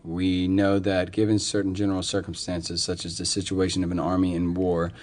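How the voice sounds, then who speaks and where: An actor playing a polite, hesitant young university student in a radio drama, with a reserved, deferential manner. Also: male voice, sits low, completely flat delivery, brisk, darkish tone, natural voice. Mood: earnest. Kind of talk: radio theatre acting, dramatic dialogue.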